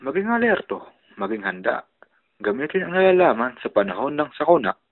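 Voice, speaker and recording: A man's voice: on the high side, very animated, brisk. Man announcer with tinny radio sound. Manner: instructive. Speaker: public service announcer. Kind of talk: scripted safety announcement.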